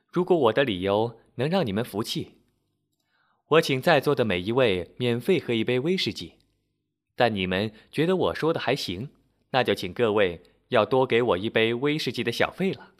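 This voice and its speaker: A man's voice: medium pitch, big pitch swings, moderate pace, close to an everyday voice. A man voicing a shrewd, teasing tavern landlady with a sly, confident manner in an audio story.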